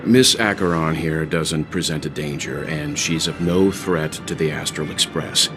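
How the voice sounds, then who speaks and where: An actor playing a composed, analytical mature man in a video game, speaking in a measured, formal way. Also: very deep voice. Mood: grave, reasoning. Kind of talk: video game cutscene acting. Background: background music.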